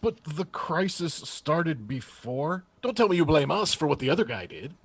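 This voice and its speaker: An actor playing a brusque, defensive chief of staff in an audio drama, clipped and impatient. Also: male voice, on the high side, sing-song, moderate pace, breathy edge, dry recording, heavily acted. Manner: clipped, impatient, all business. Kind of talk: full-cast audio drama acting.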